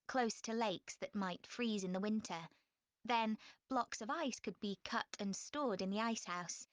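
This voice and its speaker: A woman playing a frank, chatty young student in a clear, measured scripted dialogue. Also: medium pitch, no echo, natural voice. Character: young female student planning a class presentation, frank and chatty. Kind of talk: scripted exam listening dialogue.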